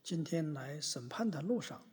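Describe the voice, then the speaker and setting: In an echoey hall, a very husky, higher-voiced actor playing a male business tycoon speaks in a satirical courtroom drama. He is pompous and self-righteous, praising himself as a noble plaintiff. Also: lively intonation, moderate pace, darkish tone. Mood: aggrieved. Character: smug, self-righteous male bottled-water company boss, plaintiff.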